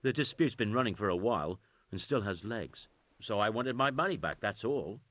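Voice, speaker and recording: A man's voice: fairly low pitch, expressive, normal speed, fairly bright tone, no echo. An actor playing an evasive, indignant nobleman in a video game, protesting in a sardonic, plain-spoken way. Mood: defensive. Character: evasive, indignant nobleman caught skulking at night. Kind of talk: dramatic video game acting.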